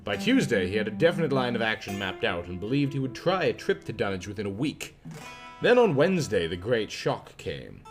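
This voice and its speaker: Male audiobook narrator, lowish pitch, scripted literary horror reading, clear guitar music bed. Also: big pitch swings, fairly quick.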